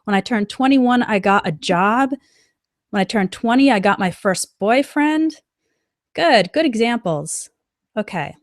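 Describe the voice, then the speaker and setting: A woman teaching an English lesson on a live stream, reading out example sentences slowly and clearly as she answers a chat. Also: a little high, expressive, dry recording, clean studio sound.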